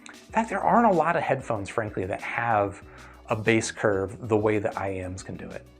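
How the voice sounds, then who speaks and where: A man's voice: lowish voice, expressive, normal speed, soft background music. A man hosting a casual livestream question-and-answer session, explaining things in a thoughtful, hedging way. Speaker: host reviewing audio gear and answering viewer questions.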